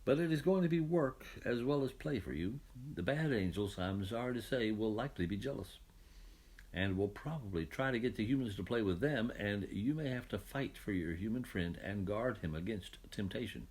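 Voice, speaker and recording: A man voicing a patient, fatherly, husky God. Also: on the low side, lively intonation, normal speed. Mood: cautioning, instructive. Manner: reassuring, explanatory, gently authoritative. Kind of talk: children's Bible storybook reading.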